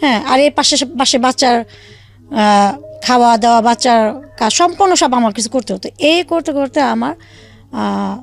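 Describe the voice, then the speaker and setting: A young woman from Bangladesh, telling her life story to an interviewer in a halting way with many fillers. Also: fairly high pitch, creaky. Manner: halting, many fillers and restarts, re-enacts dialogue. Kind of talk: casual spoken storytelling.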